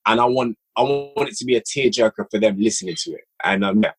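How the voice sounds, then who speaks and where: Man, deepish husky voice, casual podcast guest, reflective, repeats himself for emphasis. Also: fast talker, poor audio. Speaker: podcast guest, audio drama writer and director. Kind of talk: casual interview chat.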